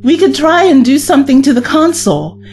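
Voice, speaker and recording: Earnest, urgent heroine, played by a woman: high, lively voice for sci-fi audio drama, emotional and principled. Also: moderate pace, faint music behind. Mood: earnest, determined. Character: earnest, principled former female companion of time traveler. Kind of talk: science-fiction audio drama acting.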